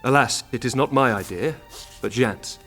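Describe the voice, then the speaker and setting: A man playing a loyal French duke and military commander in an audio drama, with a measured, formal delivery. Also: mid-range voice, a bit bright, soft background music, natural voice. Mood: advising, resolute.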